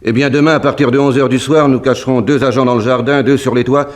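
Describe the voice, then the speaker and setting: An actor playing a brisk, methodical police commissioner in a vintage French radio drama, speaking in an official manner. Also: male voice, mid-range voice, steady tone, close to an everyday voice. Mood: businesslike.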